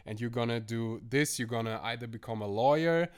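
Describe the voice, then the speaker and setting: A man's voice: mid-range voice, brisk, smooth. A man from Germany, a podcast guest talking casually and hesitantly from a bedroom at home, with many restarts. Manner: hesitant, full of restarts and laughs. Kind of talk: casual conversational interview.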